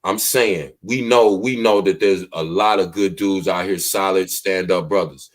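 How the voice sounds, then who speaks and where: A man with a deep voice hosting a casual podcast discussion, talking emphatically and projecting his voice. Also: a little slow. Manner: emphatic, projects his voice loudly, preachy street talk. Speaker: podcast host.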